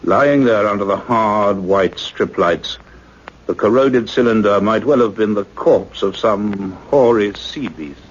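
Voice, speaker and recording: Serious senior scientist in a radio play, deep husky voice, slightly dull recording. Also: male voice, measured pace. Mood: grave, measured. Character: grave, authoritative male scientist recounting a strange discovery. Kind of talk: radio play narration and acting.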